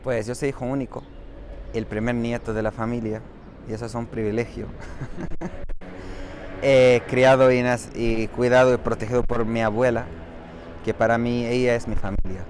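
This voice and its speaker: A man's voice: mid-range voice, little pitch movement, moderate pace, long pauses, darkish tone, poor audio. A man, talking through his answers in a casual interview outdoors and correcting himself as he goes. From Saudi Arabia. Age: 30s. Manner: thoughtful and explanatory, with frequent self-corrections. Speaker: interviewee, doctoral student. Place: outdoors on a city street. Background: faint traffic.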